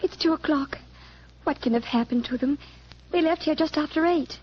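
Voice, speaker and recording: Actress as high-voiced, anxious young British fiancee. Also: female voice, normal speed, lightly acted. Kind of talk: 1940s radio drama acting. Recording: muffled 1940s radio recording.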